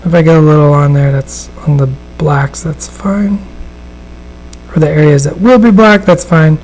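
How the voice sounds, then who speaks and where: A man with a somewhat higher voice and a gravelly rasp talks off the cuff while painting on a live stream. He rambles in a relaxed way, full of fillers and restarts. A faint electrical hum sits under the recording. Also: normal speed, poor audio. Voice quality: raspy. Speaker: miniature painter and host. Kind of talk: casual live painting demonstration. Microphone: studio microphone at a desk.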